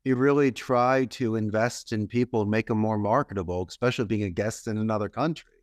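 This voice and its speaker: A man's voice: lowish voice, a little fast, dry recording, clean studio sound. A man in his fifties with a Philadelphia accent, telling his story as a guest in an emphatic, speech-like way. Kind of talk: interview storytelling.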